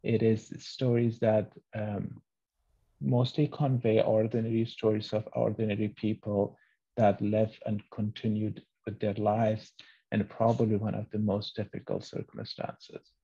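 A middle-aged man from Afghanistan whose first language is Farsi speaks softly and haltingly, in a low, husky, mellow voice. He answers interview questions reflectively over a video call. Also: little pitch movement. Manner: soft-spoken, halting. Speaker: interview guest, children's author. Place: small book-lined room at home. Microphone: video call, computer microphone. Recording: muffled, band-limited video call audio.

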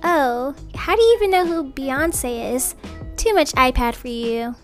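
A woman's voice: high-pitched, animated, unhurried, soft background music. A woman playing an upbeat, chatty young mother in an improvised family roleplay, casual, reassuring and gushing.

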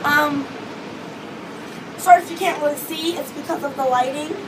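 A teenage girl chatting casually in a vlog on a hotel balcony above the beach, hoarse from losing her voice, rambling with lots of fillers. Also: sits high, fairly even intonation, moderate pace. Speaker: vlogger. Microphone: phone or webcam close in front. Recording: wind and wave noise outdoors. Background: ocean waves and beach noise.

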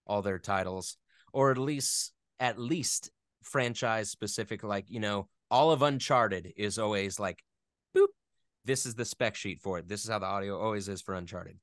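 Low, smooth-voiced man explaining casually. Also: no room sound, clean studio sound. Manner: halting, filler-heavy explaining with playful sound imitations. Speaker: interview guest, game audio editor and voice actor.

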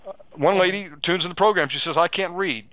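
Guy preacher on radio, kinda high voice, exhorting, sounds like a phone line. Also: fast talker. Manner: exhorting, repeats phrases, asks leading questions. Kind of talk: live pastoral counselling on a radio show. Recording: tinny phone-like radio audio.

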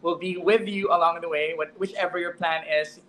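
A young man hosting an online interview over a video call, speaking haltingly with fillers and repeated words. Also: a little high, fairly even intonation, a bit bright, poor audio. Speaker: host, interviewer. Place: indoor room. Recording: faint background noise.

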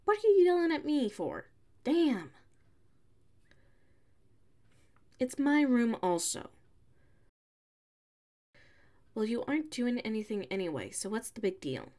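A woman voicing a prickly, haughty young tsundere classmate with a hidden soft side, in an ASMR audio roleplay. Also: a little high, sing-song. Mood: annoyed. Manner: haughty, huffy, sighing, drawn-out phrasing.

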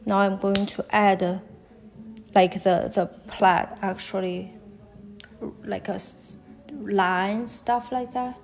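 A woman with a Chinese accent, whose first language is Chinese, talks haltingly while she draws fashion illustrations on a live stream. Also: mid-range voice, fairly even intonation, faint music behind. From China. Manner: halting, thinking aloud while drawing. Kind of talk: live-stream drawing commentary. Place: at a desk, drawing on paper.

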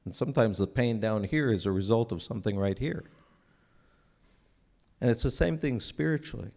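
A man in his forties, raised in Israel, giving an earnest, unhurried talk into a stand microphone on a church stage, often restarting his phrases. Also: on the low side, gravelly, a little mellow. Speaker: speaker at a church breakfast. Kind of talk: sermon-like talk to an audience.

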